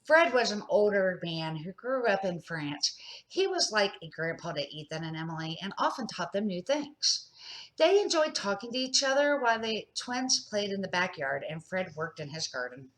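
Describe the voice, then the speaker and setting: Grandma reading a kids book out loud, medium pitch, expressive, trips on a word here and there. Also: female voice, normal speed, low-quality recording. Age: older. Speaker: grandmother reading children's books aloud. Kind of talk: amateur storybook read-aloud narration.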